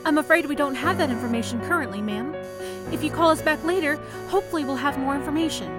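Woman acting a high-voiced, polite young switchboard operator. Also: quick pace, loud music behind the voice, natural voice. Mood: politely professional. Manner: polite, professional telephone phrasing. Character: polite, uneasy young female telephone operator. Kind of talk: old-time radio play acting.

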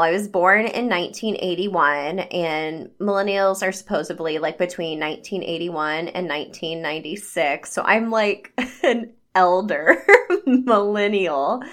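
A woman's voice: medium pitch, a bit bright. Chatty upbeat woman in her forties. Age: 40s. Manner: chatty and upbeat, lots of "like" and "you know". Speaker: podcast host and habit coach. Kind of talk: casual solo podcast monologue.

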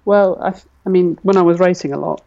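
A woman's voice: fairly low pitch, fast talker, gravelly, lo-fi sound, a crowd in the background. A woman in her forties, a guest athlete, talking casually in an interview and thinking aloud with lots of fillers. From Britain. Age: 40s.

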